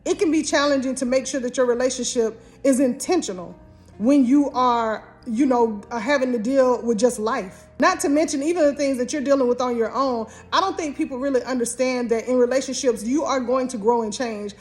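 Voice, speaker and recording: High-voiced American woman in her fifties. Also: fairly quick, darkish tone, faint music behind. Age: 50s. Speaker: co-host giving marriage advice. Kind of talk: casual motivational relationship talk.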